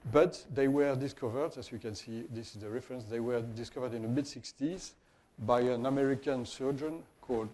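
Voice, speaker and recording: A male professor from Belgium, a native French speaker with a Belgian French accent, giving a scientific lecture in halting, deliberate phrases with self-corrections. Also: medium pitch, warm tone. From Wallonia, Belgium.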